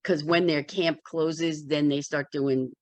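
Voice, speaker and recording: A woman's voice: low voice, steady tone, slow, a little mellow, no echo, lo-fi sound. A woman who teaches spiritual classes, chatting casually with a group.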